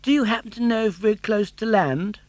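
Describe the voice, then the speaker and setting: A man's voice: a little fast, darkish tone, dry recording, natural voice. A man voicing a brave, eager wooden puppet boy in a storybook reading. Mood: anxious. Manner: high and urgent.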